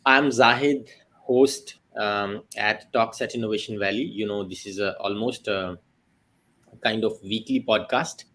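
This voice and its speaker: A man hosting an interview podcast, introducing and questioning his guest in halting, broken phrases. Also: on the low side, fairly bright tone. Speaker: podcast host.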